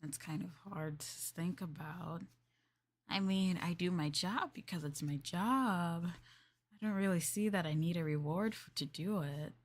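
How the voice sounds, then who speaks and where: A woman's voice: lowish voice, unhurried. A young woman chatting in an ASMR maid roleplay. She rambles playfully, giggles and hesitates with fillers while speaking to the listener as her master. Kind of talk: soft casual ASMR roleplay chat.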